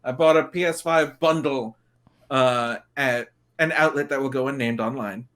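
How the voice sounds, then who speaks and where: A man chatting casually as a podcast guest, animated and jokey, rambling a little and acting out imagined quotes. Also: medium pitch, normal speed. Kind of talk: casual chat.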